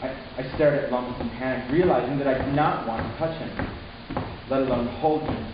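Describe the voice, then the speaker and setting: A man's voice: mid-range voice, fairly even intonation, a little fast, lightly acted. A man playing an anxious young narrator in a dramatic first-person reading, earnest and hesitant. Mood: anxious, stammering. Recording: echoey room, distant mic, loud hiss, muffled.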